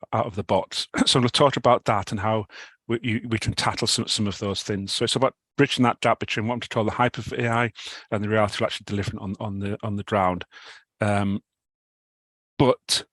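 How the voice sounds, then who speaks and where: A middle-aged British man with a cold, giving a talk over a video call, halting with many restarts. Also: on the low side, fairly bright tone, no echo. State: has a cold, clears throat. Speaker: guest speaker. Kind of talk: online talk presentation.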